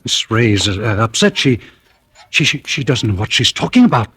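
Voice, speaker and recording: Guilty young man, expressive, swinging voice. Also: medium pitch, normal speed. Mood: evasive. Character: tense young man, guilty killer, protective and resigned. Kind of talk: 1940s radio thriller acting. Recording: noisy old radio drama recording.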